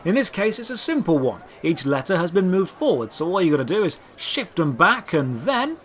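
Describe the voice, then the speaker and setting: Man voicing a cheerful, quirky market trader, higher-pitched. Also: very animated, moderate pace, a little hiss or hum. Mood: explanatory. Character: cheerful, quirky male market stallholder selling oddities. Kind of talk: audio drama character voice.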